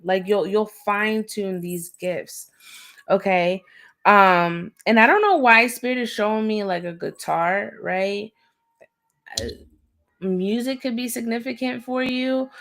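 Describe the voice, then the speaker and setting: A woman with a raspy, slightly hoarse voice, talking casually and in slang as she gives an intuitive reading on a livestream, often checking in with her listeners. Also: medium pitch. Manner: slangy, conversational, often seeking agreement with "right?" and "okay?". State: hoarse, going easy on throat. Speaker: livestream tarot reader, host.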